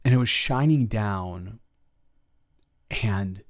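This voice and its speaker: A young man playing a nervous guy confessing love to a best friend, rambling and playful, in an improvised romantic audio roleplay. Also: fairly low pitch. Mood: tender, wistful. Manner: halting, rambling, self-interrupting, chuckling.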